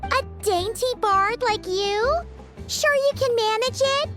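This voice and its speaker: A woman voicing a small, bubbly, cheeky fairy companion in a video game, with a squeaky childlike voice and an exclamatory manner. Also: takes it slowly, a bit bright, heavily acted. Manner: exclamatory, refers to self by name. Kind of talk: animated video game voice acting. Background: music.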